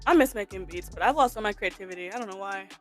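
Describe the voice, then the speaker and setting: A woman's voice: mid-range voice, racing, soft background music. A woman in her twenties, a podcast guest, chatting casually and playfully with jokes and teasing. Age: 20s.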